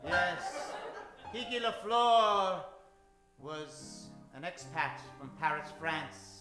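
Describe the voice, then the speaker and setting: A man hosting a live old-time radio adventure show, announcing in a grandiose, hammy, tongue-in-cheek style with dramatic pauses. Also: sits high, lively intonation. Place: live theater stage, echoing room. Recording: very echoey theater, distant, music behind.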